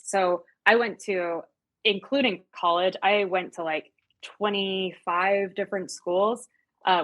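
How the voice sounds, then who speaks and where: A woman speaks casually as a real estate agent being interviewed. Her voice sits a little low. She is chatty and emphatic, often repeating a word to drive it home. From United States and Germany.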